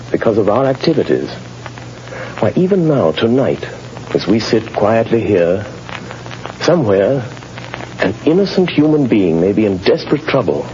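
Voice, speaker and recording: Deep, suave gentleman crimefighter. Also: very animated, normal speed, long pauses. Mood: earnest, resolute. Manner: urbane, grave, theatrical. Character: suave, determined gentleman crimefighter with a secret identity. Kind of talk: 1930s radio drama acting. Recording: dull, noisy 1930s radio recording.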